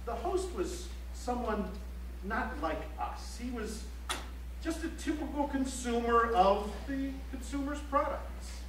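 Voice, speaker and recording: A dryly ironic male chronicler played by a stage actor narrates in a high, measured, declamatory voice. It is live theatre, heard at a distance in an echoey auditorium. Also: expressive, a bit bright, heavily acted. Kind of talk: theatrical scripted documentary narration. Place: library auditorium stage, recorded from the audience. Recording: echoey auditorium, distant mic, faint hum.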